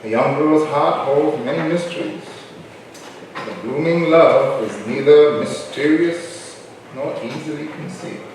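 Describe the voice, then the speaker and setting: A man's voice: fairly high pitch, measured pace, darkish tone, slight background noise, natural voice. A man performing as the narrator of a historical play in a staged reading, telling the story as scripted narration. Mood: storytelling. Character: male narrator of a colonial island history play. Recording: very echoey hall, distant mic.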